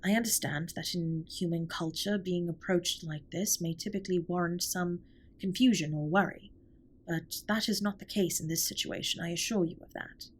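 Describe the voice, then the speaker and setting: A woman playing an alien spaceship captain in a comfort audio roleplay, speaking softly in formal, precise sentences with gentle, earnest concern. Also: fairly low pitch, slight background noise, close to an everyday voice. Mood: apologetic, reassuring. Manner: formal, stiffly precise, measured wording.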